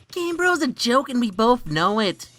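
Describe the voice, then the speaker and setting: A woman's voice: a little high, very animated, slow pace, a bit bright, full character voice. A woman voicing an earnest, nerdy young boy who teases his best friend in an amateur comic dub. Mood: casual.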